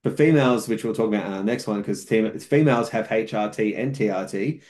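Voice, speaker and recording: A man with a fairly low voice explains things methodically as a podcast guest. He talks at length in a casual chat, often using fillers and restarting his sentences. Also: brisk, a little mellow. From outside New Zealand. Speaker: podcast guest, fitness coach.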